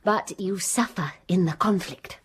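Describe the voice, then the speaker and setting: An actress playing a composed young village schoolmistress in a radio drama, speaking calmly and directly. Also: female voice, mid-range voice, unhurried, breathy edge. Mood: frank. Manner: calm, direct, quietly bold. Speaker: narrator and heroine.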